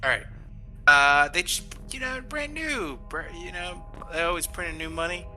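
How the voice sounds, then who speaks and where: A man's voice: fairly high pitch, moderate pace, bright, forceful tone, faint music behind. A man playing a tabletop game, chatting casually in his character's role.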